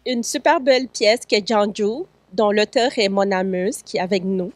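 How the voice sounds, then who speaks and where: A woman's voice: mid-range voice, moderate pace, dry recording. A woman hosting a studio interview, speaking in polished, formal phrasing with an occasional filler. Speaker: host.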